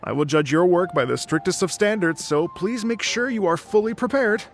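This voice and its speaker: A man's voice: sits high, expressive, normal speed. An actor playing a cautious, formal male musician who organizes a festival and speaks hesitantly but precisely. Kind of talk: game cutscene voice acting. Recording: game audio with background music.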